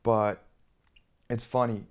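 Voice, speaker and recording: A man's voice: lowish voice, level tone, slow pace, clean tone. A man from Bulgaria in a casual chat, speaking a bit hesitantly as he thinks aloud. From Bulgaria, later grew up in the US.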